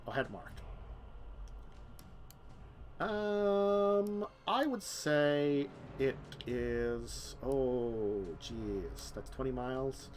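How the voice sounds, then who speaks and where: A man's voice: mid-range voice, extremely slow, a little hiss or hum. A man running a tabletop vampire game as game master, talking casually with many fillers.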